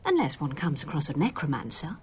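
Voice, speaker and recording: Composed British lady, lowish voice. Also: big pitch swings, fairly quick, a little mellow, husky, full character voice. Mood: wry, knowing. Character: composed, sceptical, teasing lady storyteller. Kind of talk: British radio drama acting.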